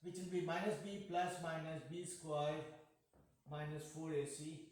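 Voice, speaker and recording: Casting: middle-aged Indian-accented male tutor, high voice, joking and quizzing students, very echoey room. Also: level tone, measured pace, darkish tone. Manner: joking, asks students questions. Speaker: math tutor. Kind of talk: online math class.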